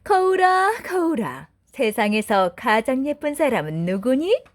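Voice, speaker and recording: Casting: woman narrator playing vain fairy-tale queen, imperious, theatrical, high-pitched with big swoops. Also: very animated, measured pace. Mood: vain, demanding. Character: vain, imperious beautiful wicked queen questioning her mirror.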